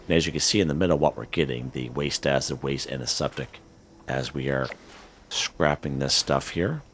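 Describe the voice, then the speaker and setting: A man with a hoarse, worn voice, casually talking viewers through a game, full of fillers and "okay?" check-ins. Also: a bass voice, moderate pace, a bit bright, slight background noise. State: hoarse, losing his voice. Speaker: gaming channel host. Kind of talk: casual gameplay walkthrough commentary.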